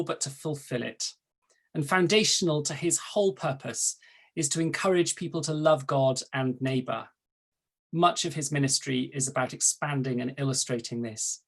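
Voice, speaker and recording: A Welsh-accented man, a native Welsh speaker serving as an archdeacon, gives a measured, formal talk over a video call, setting out his points in order. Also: on the high side. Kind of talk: prepared talk at an online webinar.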